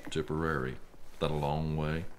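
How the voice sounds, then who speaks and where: A man's voice: rumbling low, level tone, normal speed, a little hiss or hum. A man of about fifty with an Irish accent acting a grumpy, sardonic retreat manager in a radio drama, dry and mock-grandiose. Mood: dry, grumbling. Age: middle-aged.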